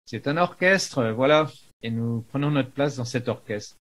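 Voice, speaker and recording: A man giving an unscripted spiritual talk as a teacher, in a halting manner with frequent pauses in the middle of phrases. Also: medium pitch, a bit bright. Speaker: spiritual teacher, video maker.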